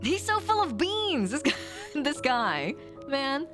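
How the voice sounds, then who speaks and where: Animated, playful woman livestreamer. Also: high-pitched, slow pace, music in the background. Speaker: VTuber streamer. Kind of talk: casual livestream chat and visual novel read-aloud.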